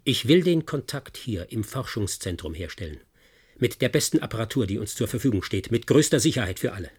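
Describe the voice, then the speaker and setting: Defiant scientist in a radio play, grave and deliberate, low husky voice. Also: male voice, animated, darkish tone. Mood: determined, threatening. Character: defiant, guilt-ridden male scientist, determined and grieving. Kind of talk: German radio play acting.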